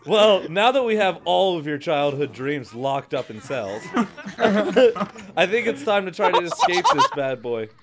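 Lively high-voiced man hosting gameplay. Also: unhurried, heavy background noise. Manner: playful, joking. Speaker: gaming video host. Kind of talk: excited casual group gameplay chat.

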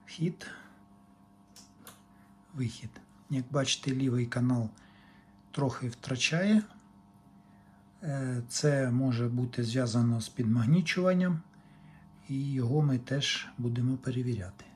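A man's voice: medium pitch, measured pace, pauses often, darkish tone, a little hiss or hum. A man giving an unscripted, matter-of-fact technical demonstration, talking in short fragments as he works. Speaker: hobbyist repair technician presenting a demonstration.

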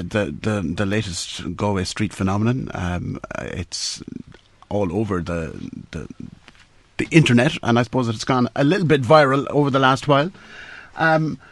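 A man hosting a radio interview, halting and full of ums and restarts. His voice is mid-pitched and sits close on a studio mic with a dry, dead sound. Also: fairly bright tone, no echo. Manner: hesitant, many fillers and repeated words. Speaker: radio show host. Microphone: studio boom mic.

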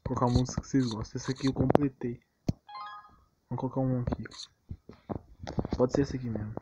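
A man's voice: medium pitch, little pitch movement, quick pace, lo-fi sound, background noise. A man with a Brazilian accent giving casual gameplay commentary in a rambling, slangy, unsure way. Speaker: gaming channel host. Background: game music.

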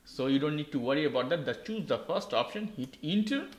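A man's voice: on the high side, expressive, a little fast, roomy sound. A man hosting a tech tutorial, walking through a laptop reset step by step in simple, non-native English. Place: indoor desk.

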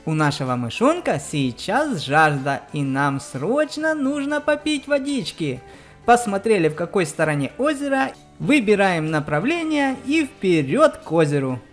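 A woman's voice: medium pitch, sing-song, measured pace. Woman narrating a children's game, music behind. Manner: explanatory, addresses young viewers directly. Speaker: let's play narrator on a children's channel.

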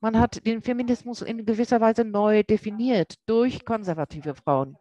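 A woman interpreting a lecture live, speaking haltingly and often correcting herself mid-word. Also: a little high, dark tone, no echo, highs cut off. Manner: halting, with frequent self-corrections. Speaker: simultaneous interpreter.

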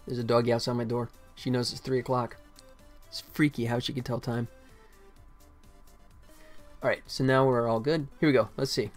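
A man's voice: mid-range voice, little pitch movement, normal speed, pauses often. A man in his forties giving casual live-stream commentary as he works at game development. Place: small indoor room at a computer. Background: faint music, keyboard typing.

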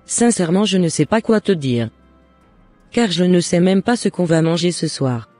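A woman as a long-suffering mother, mellow and dry. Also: lowish voice, lively intonation, normal speed, no room sound, soft background music. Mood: hurt, sorrowful. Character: long-suffering, devout, hard-working shopkeeper mother.

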